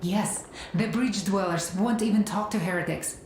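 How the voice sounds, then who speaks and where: A woman's voice: medium pitch, normal speed, darkish tone, a little hiss or hum, close to an everyday voice. A woman playing an earnest, weary captive mother in a video game. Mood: relieved. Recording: echoey room.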